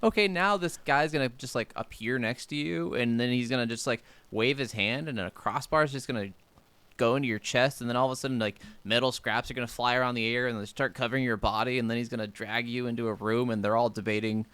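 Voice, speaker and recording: Smooth-voiced man in rambling, slangy chat. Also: mid-range voice, fast, a bit bright, no room sound. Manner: rambling, slangy, casually profane. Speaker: podcast co-host. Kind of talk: casual gaming podcast chat.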